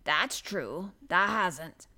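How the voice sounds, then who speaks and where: A woman's voice: on the low side, animated, measured pace, fairly bright tone. Woman acting grumbling old Yorkshire gardener man. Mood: slow, gruff. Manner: grumbling, grunting, dialect speech. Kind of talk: audiobook character voice.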